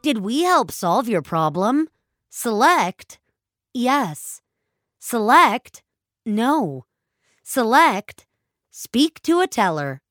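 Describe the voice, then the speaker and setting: A woman's voice: fairly high pitch, very animated, extremely slow, long pauses, a bit bright, dry recording, clean studio sound, pitched above the performer's own voice, full character voice. A woman voicing a bland, courteous American automated bank assistant, giving a formal message in an audio drama. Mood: robotically polite.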